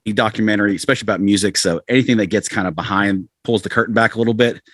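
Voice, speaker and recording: A man's voice: fairly low pitch, very fast, fairly bright tone, no room sound, clean studio sound. An American man in his forties chatting casually as an interview guest, rambling and self-deprecating as he tells stories. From southern United States. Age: 40s. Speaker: podcast guest, rock musician.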